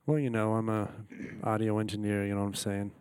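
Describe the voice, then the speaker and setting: A man's voice: fairly low pitch, level tone, fast, a little mellow, clean tone, no echo. A man co-hosting a podcast in casual banter, rambling and cracking dirty jokes. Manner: rambling, dirty-joking, says "you know what I'm saying". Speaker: podcast co-host and audio engineer.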